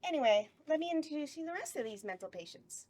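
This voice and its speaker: A woman's voice: high voice, very animated, fast talker, darkish tone. A woman playing a teasing, giggly succubus clown girl who hosts a campy horror show at a table read. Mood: playful. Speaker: actor reading a horror hostess at table read.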